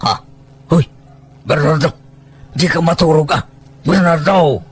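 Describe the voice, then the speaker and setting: A man's voice: on the high side, measured pace, husky. An actor playing a teasing, bossy older village man who coaxes like a matchmaker in a rural audio drama. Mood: scolding.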